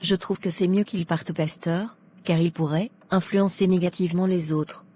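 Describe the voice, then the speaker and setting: Woman acting a blunt, low-voiced judgmental churchwoman. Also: steady tone, moderate pace, warm tone, natural voice. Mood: defensive, stern. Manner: outspoken, scolding.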